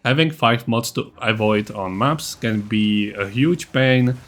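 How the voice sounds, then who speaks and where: A man's voice: lowish voice, takes it slowly. A man giving a scripted, matter-of-fact game guide narration, with a blunt, self-deprecating streak. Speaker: gaming video creator.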